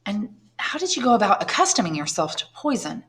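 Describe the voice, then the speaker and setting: A woman's voice: mid-range voice, very animated, a little fast, a bit bright, voice pushed up. A woman voicing a curious, nervous aristocratic mother who asks eager questions in a raised voice. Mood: eager, probing. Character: curious, flattering aristocratic lady, mother, high-strung.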